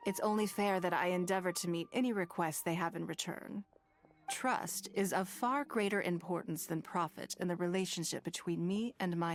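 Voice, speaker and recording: An actor, a woman, playing a poised, gracious and shrewd noblewoman in a video game, composed and measured. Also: on the low side, close to an everyday voice. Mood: composed, businesslike.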